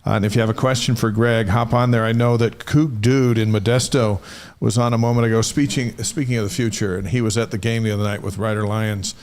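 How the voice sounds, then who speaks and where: A man's voice: lowish voice, flat delivery, fairly quick, a little mellow. A man hosting a live sports talk show in a casual, conversational way.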